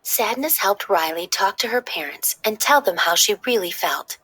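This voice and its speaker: Animated woman storybook narrator, slightly husky. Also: mid-range voice, normal speed, low-quality recording. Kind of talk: expressive read-aloud narration.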